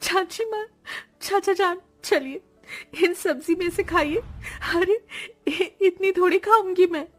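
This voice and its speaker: A woman's voice: extremely high pitch, slow, a bit bright, faint music behind, heavily acted. A woman playing a sweet, eager young niece in a cartoon story, childlike and loving, with girlish exclamations. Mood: concerned. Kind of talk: Hindi cartoon story acting.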